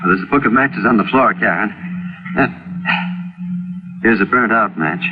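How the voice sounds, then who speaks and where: An actor playing a devoted, soothing and slightly patronizing husband in an old-time radio mystery. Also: male voice, on the low side, normal speed, over music, lightly acted. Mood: patronizing concern. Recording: tinny, noisy vintage radio recording.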